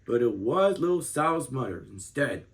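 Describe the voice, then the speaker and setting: A man of thirty reading a children's book aloud as an expressive storyteller. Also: medium pitch, moderate pace.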